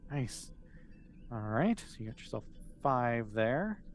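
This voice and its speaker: A man's voice: mid-range voice, sing-song, slow, a little hiss or hum. A man running a tabletop roleplaying game as game master, explaining and narrating in an informal, conversational way.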